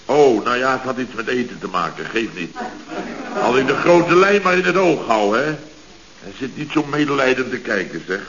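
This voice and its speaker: An actor playing a blustering, scatterbrained middle-aged boss in a radio comedy, rambling self-importantly with stammering restarts and repetitions. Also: male voice, mid-range voice, big pitch swings, moderate pace, fairly bright tone. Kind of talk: 1970s radio comedy acting. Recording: hissy, muffled old radio recording.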